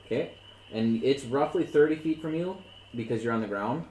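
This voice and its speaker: A man narrating as dungeon master for friends at a table, relaxed and joking. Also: mid-range voice, normal speed. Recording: echoey room, light background noise.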